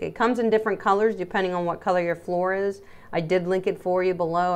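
Chatty middle-aged woman, slightly low voice. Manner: chatty, emphatic, addressing viewers directly. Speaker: dog groomer presenting salon setup. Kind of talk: casual explainer vlog.

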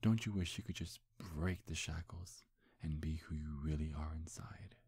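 A man playing a smug, seductive demon in an intimate ASMR roleplay, breathy and husky, teasing in a hushed, hypnotic way. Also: very deep voice, steady tone, moderate pace, no echo, studio quality, heavily acted. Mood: hypnotic, coaxing. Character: seductive, smug male demon posing as a handsome gentleman.

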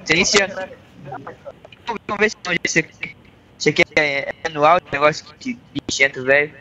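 A man's voice: on the high side, normal speed, pushed and bright, lo-fi sound, a little hiss or hum. A man in a game roleplay playing a mechanic, drawling and calling everyone rapaz. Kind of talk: in-character roleplay.

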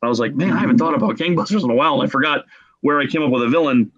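A middle-aged man telling casual stories as a podcast guest, in an excitable, jokey manner. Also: medium pitch, very fast, breathy edge. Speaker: podcast guest, game artist. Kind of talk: casual animated storytelling. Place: small room at home, video call. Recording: muffled lo-fi video call.

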